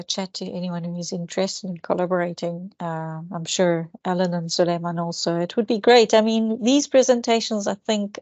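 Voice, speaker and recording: A woman with a Turkish accent speaks on a video call, her voice muted and a little lo-fi in a dry, close sound. She explains research in an academic question-and-answer session, pausing often with fillers and restarts. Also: medium pitch, a little mellow, no room sound. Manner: hesitant, many fillers and restarts. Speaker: seminar speaker. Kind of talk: academic Q&A discussion.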